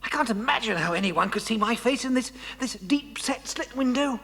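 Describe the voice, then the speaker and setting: An actor playing an agitated, earnest kidnapped scientist who speaks haltingly in a children's audio drama. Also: medium pitch, animated, a bit bright. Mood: weary, explaining. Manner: halting, stammering. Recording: long reverberant hall sound.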